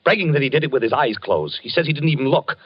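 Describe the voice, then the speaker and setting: An actor playing a tense, haunted husband and architect in a 1940s radio drama, brooding and jumpy. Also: male voice, mid-range voice, animated, fast talker, slightly rough. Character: haunted, obsessed husband Harry, middle-aged architect. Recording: dull old radio broadcast.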